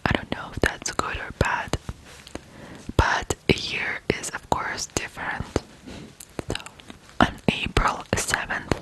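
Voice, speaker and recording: An ASMR host from Ukraine, telling stories in a hushed whisper with frequent pauses between short phrases. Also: rough recording. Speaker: ASMR video host and storyteller. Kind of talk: whispered ASMR true crime storytelling.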